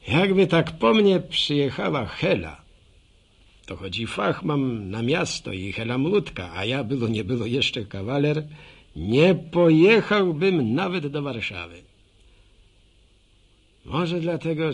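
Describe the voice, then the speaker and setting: Husky village narrator, slow, lilting pitch, fond and rambling radio storytelling. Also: male voice. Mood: wistful. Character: reflective village bachelor narrator, war veteran, fond of home. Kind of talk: folksy radio drama monologue.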